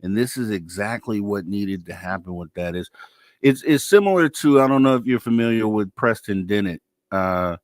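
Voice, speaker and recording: A man's voice: fairly low pitch, lively intonation, moderate pace, no echo, clean studio sound. A man hosting a live radio talk show in a casual, informal, effusive way.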